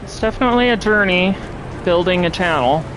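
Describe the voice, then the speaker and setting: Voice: mid-range voice, expressive, a little fast, rough recording. A game streamer with a nasal voice, chatting casually while playing. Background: music.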